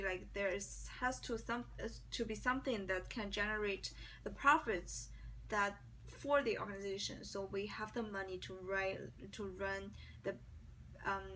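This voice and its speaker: A woman's voice: mid-range voice, bright, forceful tone. A young woman from China with a Chinese accent, a non-native English speaker answering mock job interview questions with many restarts and fillers. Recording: distant mic, faint background noise.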